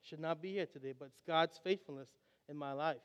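A man's voice: a little high, fairly quick, in a large hall, dull audio. A young adult man from Trinidad and Tobago preaching a sermon, earnest and reflective as he tells stories.

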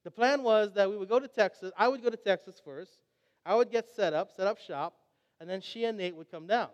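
A man's voice: high voice, a little fast, in a large hall, highs cut off. A young adult man from Trinidad and Tobago preaching a sermon, earnest and reflective as he tells stories.

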